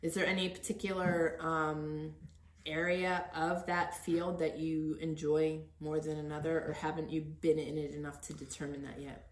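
A woman's voice: sits low, little pitch movement, moderate pace. A middle-aged woman hosting a podcast interview in an earnest, encouraging, conversational way. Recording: echoey untreated room.

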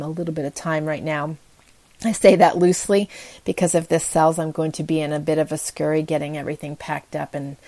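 Female podcast host with a low register; earnest, encouraging, informal motivational delivery. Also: a little mellow. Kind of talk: casual motivational podcast talk.

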